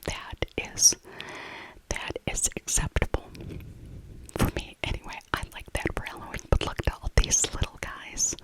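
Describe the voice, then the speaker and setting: A middle-aged woman whispering a casual, rambling ASMR shopping voiceover, softly repeating her phrases. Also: normal speed, low-quality recording. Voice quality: soft unvoiced whisper. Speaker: ASMR creator.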